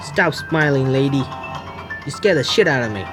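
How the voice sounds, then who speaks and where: A man hosting a gaming video, reading game text aloud and commenting on it in a jittery, excitable way. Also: mid-range voice, normal speed. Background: game music.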